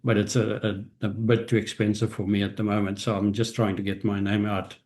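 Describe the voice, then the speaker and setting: Low, flat-voiced South African man. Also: fairly quick. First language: Afrikaans. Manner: reflective, frequent restarts and hesitations. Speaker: guest, author and business strategist. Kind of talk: interview answers.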